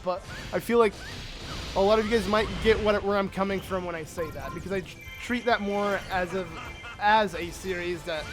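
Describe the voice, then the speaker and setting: Let's play host, male, high-pitched and a little hoarse from illness, excitable and rambling, game music faint behind. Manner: excitable, rambling with many fillers. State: sick, slightly hoarse voice. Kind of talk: casual gameplay commentary.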